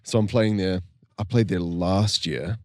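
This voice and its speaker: A young man, chatting casually as a guest musician on a podcast, laid-back and quick to laugh. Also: deep voice, dry recording, studio quality. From New Zealand.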